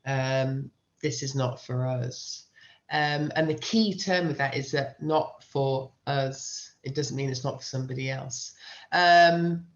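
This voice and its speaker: A woman's voice: extremely low pitch, slow pace. A middle-aged British woman who teaches writing, answering interview questions with emphatic advice and repeating key phrases for stress. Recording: dull, lo-fi sound.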